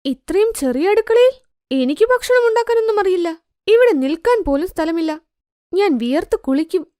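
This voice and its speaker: A woman's voice: extremely high pitch, animated, normal speed, warm tone, no room sound, studio quality, voice pushed up. A woman voicing a haughty, boastful young daughter-in-law who complains in a cartoon moral story. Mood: whiny, defiant. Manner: complaining, showy.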